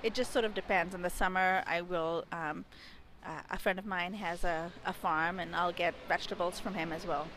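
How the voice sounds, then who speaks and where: A woman's voice: on the low side, steady tone, normal speed, few pauses, background noise. A woman in her thirties, from India and raised in Dubai, answering interview questions outdoors into a handheld mic, thoughtful and a little hesitant as she searches for words. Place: outdoors beside a building wall. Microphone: handheld mic held close.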